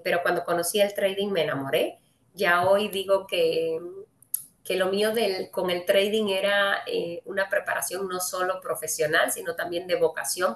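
Cuban lady running an online trading webinar, lowish voice, lots of eh's, echoey room. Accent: Cuban Spanish. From Cuba. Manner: friendly, addresses viewers directly, frequent "eh" fillers. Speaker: webinar host and trading speaker. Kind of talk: live webinar presentation.

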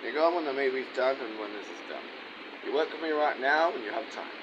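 A bossy young man with an average pitch orders someone around off camera while he talks into his webcam. His voice comes through with a steady hiss of noise behind it. He is sitting in a small, dim room indoors. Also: fast, highs cut off. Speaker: vlogger. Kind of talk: rambling webcam vlog. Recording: clear background noise.

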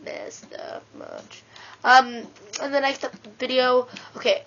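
A woman's voice: high voice, takes it slowly, a little hiss or hum. Stuffy-nosed woman rambling over a game. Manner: rambling, excitable, talks to herself. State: stuffy nose. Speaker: let's play gamer. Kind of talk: casual game commentary. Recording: dull lo-fi with game music.

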